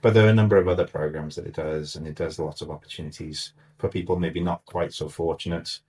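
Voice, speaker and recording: Deep-voiced older man. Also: steady tone, a little mellow. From Wales. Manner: measured, explanatory, with frequent restarts. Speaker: guest mentor. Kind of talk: relaxed conversational interview.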